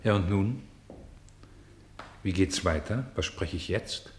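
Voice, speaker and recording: A solemn male narrator in a German radio drama. His voice sits fairly low and carries through a very roomy space, with measured, declaimed phrasing. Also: expressive. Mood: hesitant. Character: narrator turned player, voicing cities, streets and houses. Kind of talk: German radio play acting.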